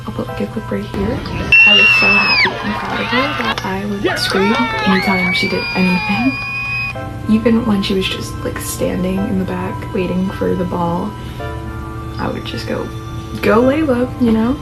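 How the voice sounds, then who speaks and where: A hoarse young woman reader chatting casually to viewers in a quick aside. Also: mid-range voice, sing-song, a loud crowd, poor audio. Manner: chatty, filler-heavy. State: hoarse from screaming. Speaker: story reader. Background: background music.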